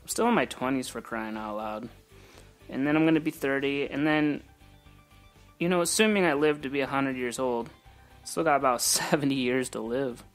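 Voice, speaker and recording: A man in his late twenties muses aloud about growth and belief, wandering from thought to thought in an easy, reflective way. His voice sits at an ordinary middle pitch, and soft music plays faintly behind him. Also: moderate pace. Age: 20s. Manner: reflective, rambling, self-talking. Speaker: vlogger. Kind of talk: casual motivational monologue.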